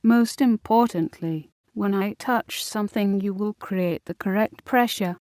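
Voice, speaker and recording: A woman reading a scripted hypnosis recording as the hypnotist, giving slow, calm, commanding instructions. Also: mid-range voice, no room sound, studio quality.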